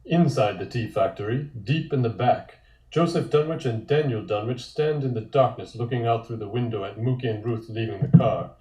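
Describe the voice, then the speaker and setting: A man narrates a comic script's scene directions. His voice is mid-pitched and mellow, recorded in an echoey room. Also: normal speed. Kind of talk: reading comic panel directions at a table read.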